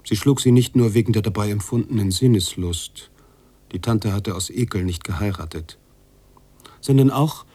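An actor playing a weary, brooding writer who narrates a literary radio drama in a musing, halting, husky way. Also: male voice, on the low side, no room sound. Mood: somber, narrating. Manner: musing, halting, drops into hushed tones. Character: weary, brooding bearded male writer narrating his story.

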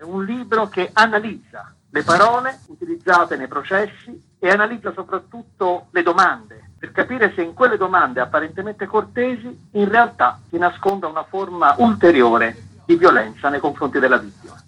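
A man with a bright, forceful voice and a husky grain speaks as an interview guest. He is an author who explains articulately, building his points through emphatic repetition. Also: sits high, lively intonation, moderate pace, rough recording.

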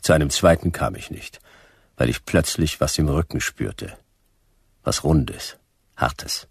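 Very deep gravelly detective. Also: male voice, takes it slowly, no room sound, exaggerated voice. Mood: deadpan, laconic. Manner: dry, clipped, deadpan. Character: laconic hard-boiled male private detective narrator. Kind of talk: scripted noir radio drama narration.

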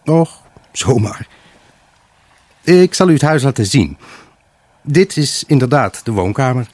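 An actor playing a wistful, evasive husband left alone, in a halting, pensive radio drama monologue. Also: male voice, medium pitch, very animated, darkish tone, dry recording. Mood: nostalgic. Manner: halting, pensive, addressing an unseen buyer. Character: wistful, evasive abandoned husband selling his house.

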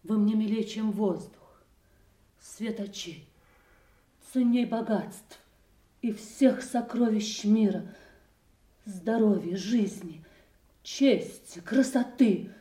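Actress as effusive princess, distant and echoey. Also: female voice, medium pitch, slow pace, pauses often, dark tone, close to an everyday voice. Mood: flattering, fervent. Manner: effusive, declamatory. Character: king's eldest daughter, effusive, flattering noblewoman. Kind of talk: classical stage acting in an audio drama.

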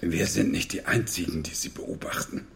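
Low, gravelly probing male investigator. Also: slight background noise. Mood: probing, dry. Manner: insinuating, measured, pointed pauses. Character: probing male police investigator, insinuating and unhurried. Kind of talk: radio crime drama acting.

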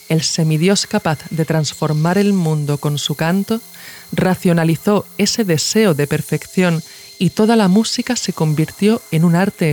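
A woman with an accent from Spain reads a scripted documentary essay in a measured, reflective, formal manner. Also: on the low side, darkish tone. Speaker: podcast narrator and author.

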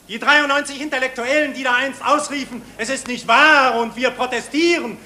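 Ein Schauspieler spielt in einem deutschen Hörspiel der 1940er einen trotzigen, bitter sarkastischen Kriegsgegner, der rhetorisch anklagt. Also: male voice, extremely high pitch, sharp tone. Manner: biting, accusatory, rhetorical. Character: defiant, bitterly sarcastic anti-war dissenter deemed mad. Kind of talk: 1940s German radio play, declamatory speech.

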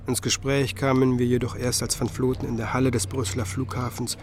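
A man acting a reflective musicologist who recalls the past in measured, literary radio drama narration. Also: mid-range voice, little pitch movement, natural voice.

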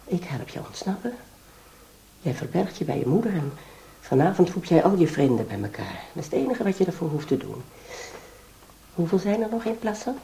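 An actress playing a scheming, ambitious wife in a radio drama, coaxing and insistent. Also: female voice, fairly low pitch, big pitch swings, a little fast, creaky, long pauses, dark tone, a little hiss or hum. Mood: calculating. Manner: coaxing, insistent, feigned concern. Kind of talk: Dutch radio drama acting.

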